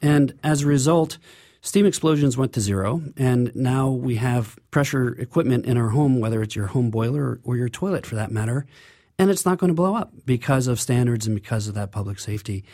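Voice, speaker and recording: Male interview guest, engineer, earnest explainer, medium pitch, dry close recording. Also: fairly quick, warm tone, dry recording.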